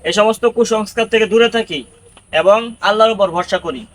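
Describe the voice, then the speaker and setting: A man's voice: high-pitched, a little fast, fairly bright tone. A man acting a pious, sensible villager who gives earnest, preachy warnings in an amateur skit, with faint background noise. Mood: earnest, admonishing.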